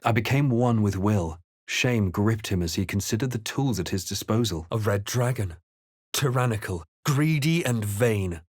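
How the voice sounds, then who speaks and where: A man voicing a grave, watchful, wry spellcasting adventurer hero in a video game, with a measured, dramatic delivery. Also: on the low side, dry recording, clean studio sound, close to an everyday voice. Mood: somber, reflective. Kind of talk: video game voice lines, dramatic narration and incantations.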